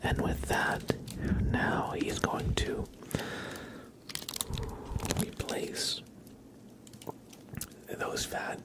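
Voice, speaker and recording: A man whispering and speaking softly and breathily as an ASMR livestream host, gentle and halting, with frequent pauses. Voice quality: breathy whisper mixed with low soft voice. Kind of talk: whispered ASMR, part role play, part casual chat.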